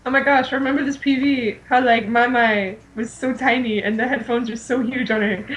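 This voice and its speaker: A woman's voice: high voice, measured pace, fairly bright tone, slight background noise. A giggly woman chatting casually as a co-host on a fan podcast.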